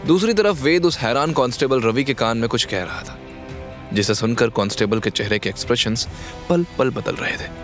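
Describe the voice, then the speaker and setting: A man's voice: mid-range voice, brisk. Male audio drama narrator over music. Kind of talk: scripted dramatic story narration.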